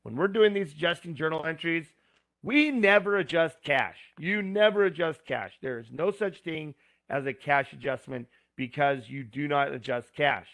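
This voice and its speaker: A man teaching, talking step by step through homework problems with plain asides, his voice going hoarse. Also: fairly high pitch, moderate pace, a bit bright, no room sound. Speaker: instructor. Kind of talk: screen-recorded homework walkthrough.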